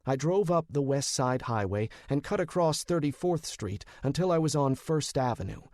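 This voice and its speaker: A man narrating first-person fiction as an audiobook reader, with measured, dramatic storytelling. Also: fairly high pitch, expressive, darkish tone, dry recording, clean studio sound. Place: close, dry studio.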